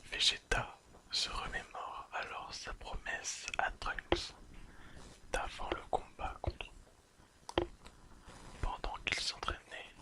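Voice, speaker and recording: Slow, fully whispered ASMR reading. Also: poor audio. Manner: soft, unhurried, soothing, whispering throughout. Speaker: ASMR creator.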